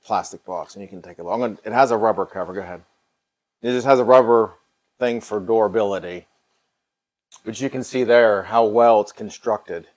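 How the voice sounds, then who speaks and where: A man's voice: fairly low pitch, brisk, darkish tone. A middle-aged American man hosting a paranormal livestream in a casual, folksy way, repeating himself as he shows a product.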